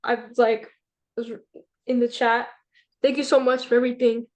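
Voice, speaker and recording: A woman's voice: on the high side, level tone, moderate pace. A young woman on a student panel answering over a video call, eager and a bit flustered, with ums. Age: young adult.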